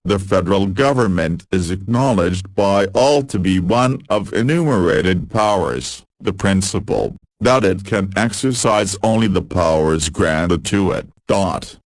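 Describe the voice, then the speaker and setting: A man reading quotations as scripted narration, in a measured and formal delivery. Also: low-pitched, no room sound.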